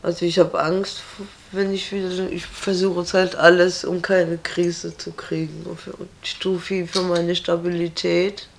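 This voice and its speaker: A flat, gravelly-voiced woman speaking bluntly. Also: fairly low pitch, a little slow, few pauses, a little hiss or hum. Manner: flat, direct and firm. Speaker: interviewee. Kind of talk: blunt conversational interview.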